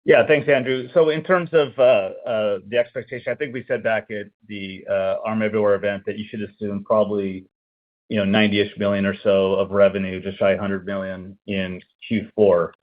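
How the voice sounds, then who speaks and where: Male finance exec, lowish voice, plain and matter-of-fact, phone-line quality. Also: steady tone, brisk. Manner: matter-of-fact, casual hedging. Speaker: finance executive. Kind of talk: earnings call answer.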